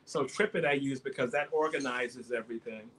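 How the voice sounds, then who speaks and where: A man's voice: medium pitch, a little fast, fairly bright tone. Man chatting casually, noisy background. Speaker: guest on a live talk show. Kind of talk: casual conversational interview.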